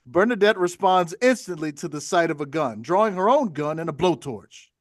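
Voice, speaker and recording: Man for stage-direction reading, highish pitch, scripted table-read narration. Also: lively intonation, moderate pace.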